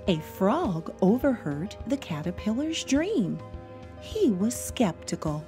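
A woman narrating a children's storybook as an expressive read-aloud. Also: medium pitch, takes it slowly. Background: soft music.

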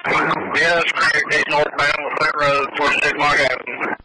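A man's voice: a little high, little pitch movement, normal speed. Cop guy calling out a chase on scanner radio, tons of noise and clicks. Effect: police radio. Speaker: police officer on pursuit radio. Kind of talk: terse police radio traffic. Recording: very noisy police scanner radio. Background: heavy noise with radio clicks.

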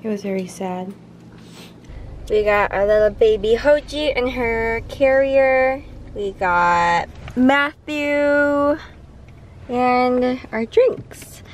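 A woman's voice: on the high side, very slow, a little hiss or hum. A woman in her twenties with an American accent, chatting casually to a handheld camera as she vlogs. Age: 20s. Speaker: vlogger. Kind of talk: casual vlog chat. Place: kitchen, car, beach. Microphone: handheld camera at arm's length. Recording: loud music behind the voice.

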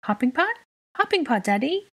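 A woman voicing an excitable young boy in a storybook, pleading and whining in short childish phrases. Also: high-pitched, slow, dry recording, voice pushed up, heavily acted. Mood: eager.

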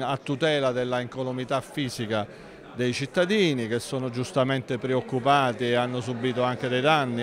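Older guy in his 60s, mid pitch, answering like a mayor in an interview, measured and formal. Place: large indoor council hall. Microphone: handheld interview microphone.

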